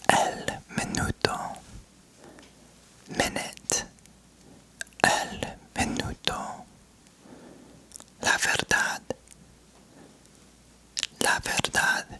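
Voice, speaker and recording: Young male ASMR creator, unvoiced whisper throughout, very unhurried delivery. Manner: soft unvoiced whisper, slow word-by-word repetition. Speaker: ASMR creator teaching vocabulary. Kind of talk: whispered ASMR language lesson.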